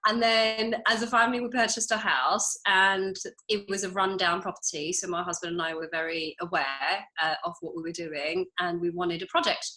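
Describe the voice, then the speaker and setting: Bright-voiced chatty woman. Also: medium pitch, rough recording. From France. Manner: chatty, laughs often, quick asides. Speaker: interior designer, guest. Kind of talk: casual interview storytelling.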